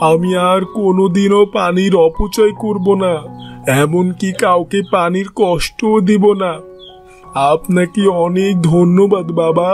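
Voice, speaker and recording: A man's voice: high-pitched, fairly even intonation, measured pace, darkish tone, soft background music. A man voicing a desperate, pleading king in a fairy-tale cartoon, plaintive and humble. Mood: repentant, grateful.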